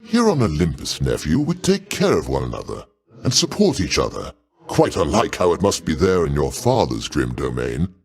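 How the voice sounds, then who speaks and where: A man's voice: extremely low pitch, wildly swooping pitch, normal speed, heavily acted. A man voicing a mighty older god king for a video game, a genial, doting uncle with grand, courtly old-fashioned diction. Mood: grand, encouraging. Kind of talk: theatrical video game voice acting.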